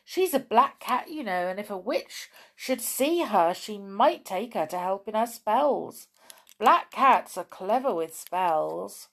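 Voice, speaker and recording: A woman voicing an excitable, reassuring know-it-all playmate in a children's storybook, eager yet matter-of-fact. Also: mid-range voice, slow pace, pitched above the performer's own voice.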